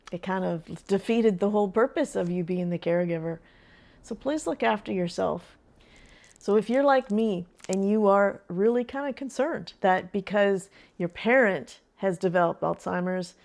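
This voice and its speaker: An older woman, a retired teacher and grandmother, talks in a chatty, earnest way to camera, sharing advice and often laughing softly between thoughts. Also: mid-range voice. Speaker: vlogger. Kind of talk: casual advice vlog.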